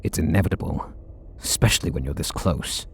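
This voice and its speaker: A man voicing a flustered, tender super villain in an ASMR roleplay, speaking softly and intimately. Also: fairly quick, a little hiss or hum. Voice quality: low gravelly husky voice. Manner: soft and intimate, bashful.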